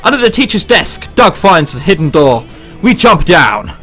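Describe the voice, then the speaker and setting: A man with a high, bright voice does let's play commentary on a visual novel. He swings widely in pitch, incredulous and sweary between passages read aloud, with music behind him. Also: sing-song, moderate pace. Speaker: gamer reading and reacting to a visual novel. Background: game background music.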